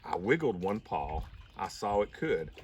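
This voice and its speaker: A man reading a rhyming children's story aloud in an expressive storybook style. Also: low voice, unhurried. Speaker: storybook reader. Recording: slightly distant mic, faint background noise.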